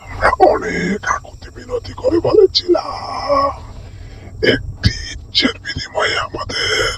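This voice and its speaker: A man voicing the mournful ghost of a cursed prince in a cartoon, slow, wailing and pleading for freedom. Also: extremely high pitch, huge pitch swings, background noise, exaggerated voice. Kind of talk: animated ghost story acting.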